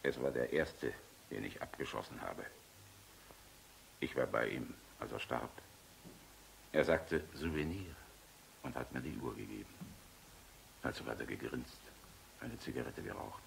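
An actor playing a war-weary general in a German radio play, tenderly in love, wry and fatalistic, with a very deep, gravelly voice. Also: male voice, animated, measured pace, pauses often, exaggerated voice, rough recording. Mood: grave, subdued. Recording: hissy old radio recording.

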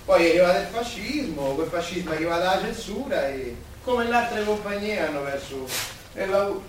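Gossipy working class roman man, high voice, radio play, really echoey room. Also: brisk. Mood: chatty, scolding. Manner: colloquial, expressive, gossipy. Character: chatty working-class Roman man, warm-hearted, plain-spoken. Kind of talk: Italian stage-style radio theatre dialogue. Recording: distant, very echoey room, faint noise.

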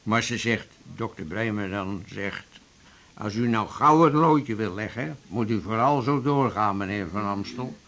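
An ailing but talkative man in a radio drama, his voice on the low side. He chats with wry familiarity, like a regular at the surgery. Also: lively intonation, measured pace, a bit bright. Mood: chatty, wry. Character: chatty, ailing male patient in a waiting room.

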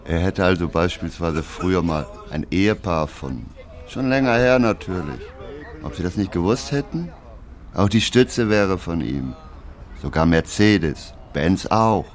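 A man's voice: low voice, animated, measured pace. A man acting in a radio play, relaying a self-important visionary's boasts in emphatic, clipped phrases. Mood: insistent. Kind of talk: radio play narration in reported speech.

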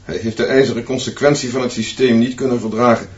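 A man's voice: medium pitch, fairly even intonation, fast, natural voice. An actor playing a grave male schoolteacher who speaks in solemn, declarative statements in a radio drama. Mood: solemn, firm. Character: grave male schoolteacher explaining a colleague's downfall. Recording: echoey room, dull sound.

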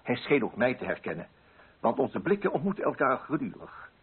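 A man's voice: medium pitch, big pitch swings, fairly quick, slightly rough. A man playing a pompous, fussy, middle-aged coffee broker with a Netherlands Dutch accent, in a dramatized radio monologue. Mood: self-important, narrating. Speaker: narrating lead character. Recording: muffled, band-limited radio drama recording.

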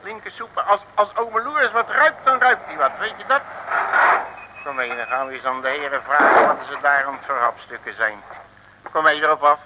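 An actor playing a brash, excitable, slangy detective with a blustery, stammering manner in a 1950s comic radio serial. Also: male voice, a little high, normal speed, close to an everyday voice. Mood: gruff, bossy. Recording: old noisy radio recording.

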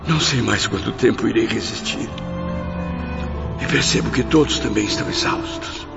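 A man voicing an anguished male spirit of a suicide in a radio play, weary and proud, with a gravelly voice. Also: on the low side, moderate pace. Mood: exhausted. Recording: dull lo-fi audio with loud music.

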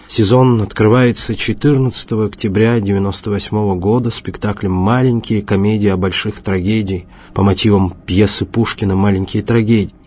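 Low, mellow, husky male narrator. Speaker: documentary narrator. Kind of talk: scripted narration of a radio documentary.

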